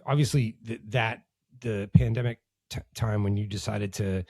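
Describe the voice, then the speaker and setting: A man hosting a podcast, recorded dry and close, with no room sound. He asks his questions haltingly, starting over again and again. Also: fairly low pitch, steady tone, a little mellow, dry recording. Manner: halting, many false starts and restarts. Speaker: podcast host. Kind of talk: casual interview chat.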